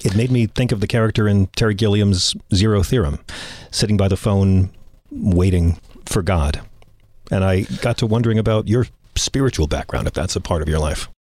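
A male interviewer with a lowish voice asks engaged, curious questions and offers brief affirmations. The recording is dry and close, with no room around him. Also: normal speed, darkish tone, dry recording. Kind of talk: interview conversation.

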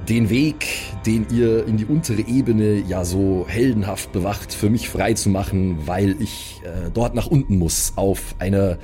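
Man as cautious mage envoy, tabletop fantasy game, formal and hesitant, dark soft timbre, faint eerie music. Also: on the low side, a little slow, hardly any pauses, natural voice. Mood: humble, pleading. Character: deferential, cautious male envoy of a mages' academy.

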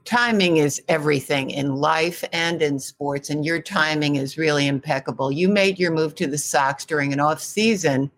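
A woman reporter putting prepared interview questions in a deliberate, declarative, newsy way. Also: low-pitched.

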